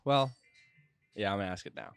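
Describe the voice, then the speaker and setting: A man's voice: on the low side, a little fast, clear, resonant tone, dry recording, faint music behind. A young man hosting a casual interview, asking his questions in a tentative, hesitant way. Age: young adult. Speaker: podcast host and interviewer. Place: small indoor lounge, on a leather couch. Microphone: close podcast microphone with headphones.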